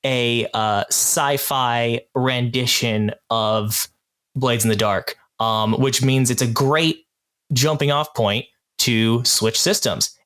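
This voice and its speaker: A man hosting a podcast in an informal, appreciative and slightly halting way. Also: medium pitch, a bit bright. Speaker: podcast host. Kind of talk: casual hosting chat.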